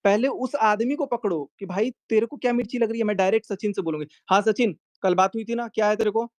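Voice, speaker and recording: A man's voice: sits high, fast talker, no echo. A man in a heated argument, insistently repeating himself and cutting in to make his point. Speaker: YouTube vlogger.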